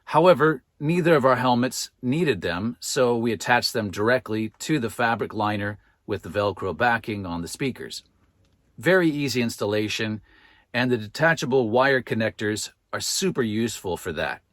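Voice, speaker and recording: A man presenting a product review as scripted narration, with measured, clearly phrased delivery. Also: mid-range voice, animated, no room sound, clean studio sound. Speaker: product reviewer and channel host.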